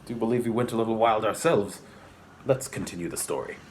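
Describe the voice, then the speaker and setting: A man's voice: on the low side, fairly quick, darkish tone, slight background noise. A man hosting a children's picture book read-aloud, speaking with exaggerated, theatrical politeness and chatty asides. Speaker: library storyteller and host.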